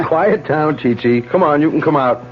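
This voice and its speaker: An actor playing an affable, polite young brain surgeon in a 1970s radio drama, courteous and a little hesitant. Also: male voice, on the high side, moderate pace, close to an everyday voice. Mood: amused, affectionate. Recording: dull 1970s radio recording, faint music.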